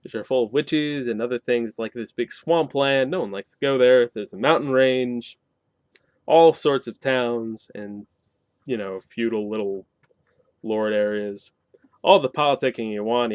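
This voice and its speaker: A man's voice: mid-range voice, clean tone, no room sound, studio quality. A man hosting a casual, unscripted review, explaining things conversationally with wry asides. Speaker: reviewer and channel host.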